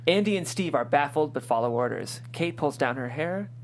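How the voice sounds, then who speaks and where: A woman's voice: deep voice, lively intonation, moderate pace, a little hiss or hum. A woman reading stage directions as narrator at a live script table read.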